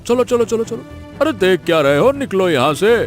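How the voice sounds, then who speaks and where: A man's voice: high voice, big pitch swings, normal speed, soft background music. A man voicing a callous, greedy village healer in a Hindi cartoon story, drawling and hemming with a dismissive sneer. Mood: scornful.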